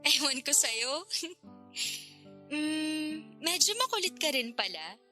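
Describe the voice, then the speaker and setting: Flirty married woman, bright voice. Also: high voice, expressive, slow pace, faint music behind, heavily acted. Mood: playful teasing. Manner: playful, coy, conversational. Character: flirty, teasing married mother in an online affair. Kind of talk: Tagalog radio drama dialogue.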